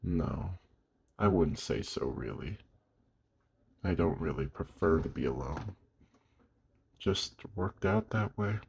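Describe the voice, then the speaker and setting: A man playing a gruff, lonely old werewolf in an intimate ASMR roleplay, weary but gentle, speaking slowly and hushed with a husky, gravelly voice. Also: low-pitched, animated, slight background noise, exaggerated voice. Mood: guarded, wistful. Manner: slow, hushed, with long pauses.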